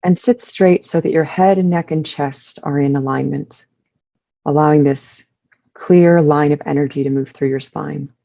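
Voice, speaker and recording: A woman leading a guided meditation, giving soothing instructions in measured short phrases with pauses between them. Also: deep voice, steady tone, gravelly, warm tone, dull audio.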